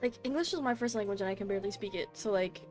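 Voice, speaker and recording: A woman in casual stream chat, reading out comments with quick, low-key asides. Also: over loud music. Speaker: streamer co-host.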